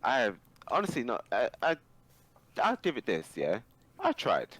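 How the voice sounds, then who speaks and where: A man's voice: mid-range voice, huge pitch swings, normal speed, a bit bright, no room sound. A man talking casually and emphatically on a podcast as an opinionated, sweary anime fan. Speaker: podcast guest or co-host.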